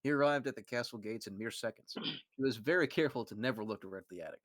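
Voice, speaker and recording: A man hosting a comedic fan fiction reading, animated and often laughing. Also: medium pitch, fast, dry recording, poor audio. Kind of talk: comedic reading with group commentary.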